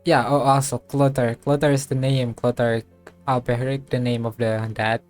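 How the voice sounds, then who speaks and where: A man giving casual playthrough commentary as a game streamer, musing aloud and thinking things through haltingly. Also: mid-range voice, level tone, darkish tone. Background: game music.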